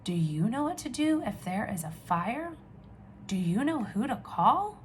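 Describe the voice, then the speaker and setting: A woman teacher reading aloud to young children, with expressive questions and drawn-out emphasis. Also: on the high side, a little hiss or hum. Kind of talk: children's read-aloud lesson.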